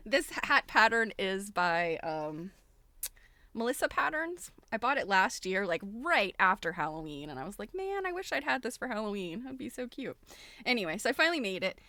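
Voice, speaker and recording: A woman's voice: medium pitch, sing-song, moderate pace, pushed and bright, no echo. A middle-aged woman hosting a casual livestream, chatty and giggly, often breaking into exclamations. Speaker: livestream host.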